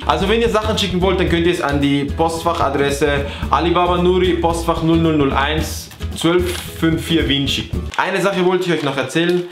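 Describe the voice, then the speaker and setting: A man's voice: a little high, moderate pace, few pauses, music in the background. A man hosting a vlog, chatting casually and playfully to camera in a bedroom. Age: 20s. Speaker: host of a sibling vlog. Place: small bedroom, indoors. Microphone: camera mic at short distance. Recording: slightly echoey bedroom.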